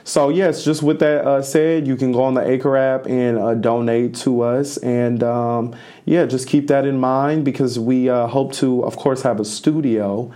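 A man's voice: mid-range voice, steady tone, unhurried, warm tone. A young college man co-hosting a student podcast, talking casually with many fillers and restarts, his voice a bit strained after losing it. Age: young adult. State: slightly strained voice. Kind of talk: casual chat.

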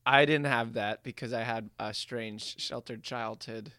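Bright, crisp-voiced man chatting playfully. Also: medium pitch, fairly even intonation, measured pace, no room sound, studio quality. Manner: playful, rambling storytelling. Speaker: podcast co-host. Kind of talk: casual comedic chat.

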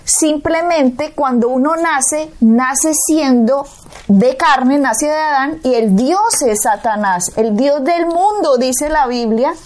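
A Colombian woman with a high, bright-pitched voice leads a Bible teaching conversation. She preaches emphatically, pressing her point with rhetorical questions aimed at the listener. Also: slow. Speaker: co-host. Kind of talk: Bible teaching talk show.

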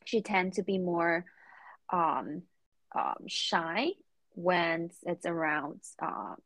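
Low-pitched young woman, English speaker. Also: a bit bright, dry recording. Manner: halting, careful phrasing in non-native English. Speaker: podcast guest, recent therapy student. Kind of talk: reflective interview answers.

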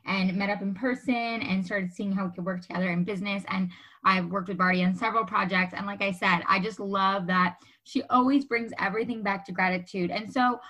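A woman hosts a podcast in a bright, medium-pitched voice. She is chatty and complimentary, working her way conversationally toward long, roundabout questions. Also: steady tone, fairly quick, low-quality recording. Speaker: podcast host. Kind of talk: conversational interviewing.

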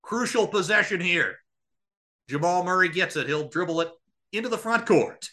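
A man calling a live basketball game as a radio play-by-play announcer, with an excitable delivery. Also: high voice, moderate pace.

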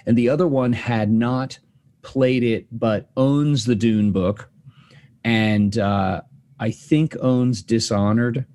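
A man's voice: mid-range voice, steady tone, slow, dark tone. A man hosting a podcast, chatting casually and rambling with fillers and restarts. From United States. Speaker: podcast host. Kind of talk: casual chat.

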